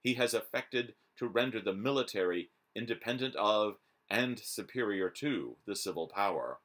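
A man in his forties reading a historical document aloud in a formal, measured, declamatory manner. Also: fairly low pitch, lively intonation, fairly bright tone. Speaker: reader. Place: small room at home, indoors.

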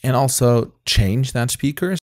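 A man in his thirties with a non-native English accent, casually demoing software in a relaxed, chatty way with frequent fillers, recorded at home on a close mic. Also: medium pitch, unhurried, no room sound. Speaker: tech reviewer. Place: home studio room with colored lights.

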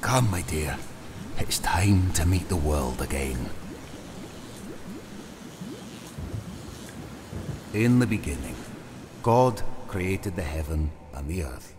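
A man playing an obsessed, remorseful mad scientist father in an audio drama, declaiming grandly and swinging from hushed to fervent. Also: deep voice, animated, a little slow, noisy background. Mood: solemn. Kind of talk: theatrical audio drama monologue.

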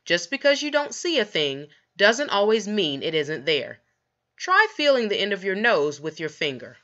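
A woman's voice: mid-range voice, big pitch swings, normal speed, sharp tone, muffled recording. A woman voicing a stern storybook father with a firm, questioning manner.

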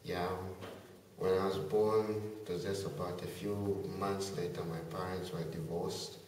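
A teenage boy from Zambia answering interview questions in a thoughtful, soft-spoken way. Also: low voice, level tone, unhurried, a little hiss or hum. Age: teens. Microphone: far camera. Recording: echoey room from far off.

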